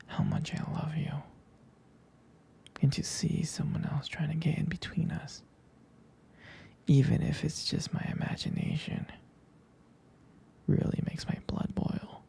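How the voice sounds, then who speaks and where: A man playing a jealous, protective, devoted young boyfriend in an intimate ASMR roleplay, whispering hesitantly in a breathy, husky voice. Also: a little high, steady tone. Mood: possessive. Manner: hesitant, slow, halting, soft-spoken.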